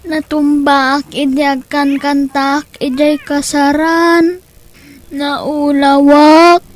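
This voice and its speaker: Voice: high-pitched, flat delivery, very slow. A performer playing a weary, obedient young boy in an audio drama, with an Ilocano Filipino accent and a plaintive manner. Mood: pained, pleading.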